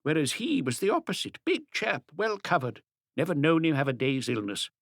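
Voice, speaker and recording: A man's voice: a little high, sing-song, moderate pace, a bit bright, no room sound, studio quality, pitched above the performer's own voice, heavily acted. A man voicing a chatty elderly bird seller in an audiobook, folksy, gossipy and wistful as he reminisces. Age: older. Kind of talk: audiobook character dialogue.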